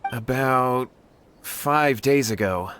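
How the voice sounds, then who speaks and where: A man's voice: mid-range voice, takes it slowly, a bit bright, slight background noise. A man playing an earnest, anxious young martial artist in a video game, pleading and deferential. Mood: worried, earnest. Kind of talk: video game character acting. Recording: music behind the voice. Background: game music.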